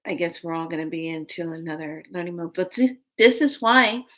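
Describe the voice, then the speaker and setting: A woman's voice: on the low side, animated, a little fast, a bit bright. A woman in her late fifties chatting casually to viewers on a live stream, rambling through a story. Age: 50s. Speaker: vlogger.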